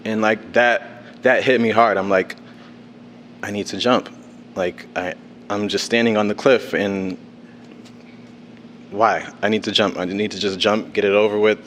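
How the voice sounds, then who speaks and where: A man's voice: on the low side, fairly even intonation, measured pace, pauses often, fairly bright tone, slight background noise. A young man from Connecticut, an artist telling his story on a panel in a loose, informal way. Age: young adult. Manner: informal, with fillers and restarts. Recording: long hall reverb.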